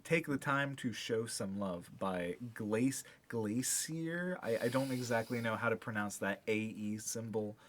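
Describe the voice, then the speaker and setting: A man's voice: fairly low pitch, unhurried, few pauses. A man co-hosting a podcast, chatting casually about music in a slangy, rambling way peppered with filler words. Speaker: podcast co-host.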